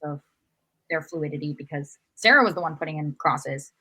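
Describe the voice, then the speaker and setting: A woman's voice: low voice, moderate pace, bright, forceful tone, breathy edge. A woman co-hosting a podcast, giving casual, conversational soccer analysis. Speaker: podcast co-host.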